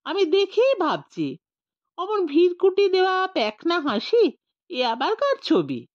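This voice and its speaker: A woman's voice: extremely high pitch, huge pitch swings, measured pace, lo-fi sound, voice pushed up, heavily acted. A woman voicing a fawning, self-important old male clerk with a knowing, opinionated manner in a comic story. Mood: smug, disdainful.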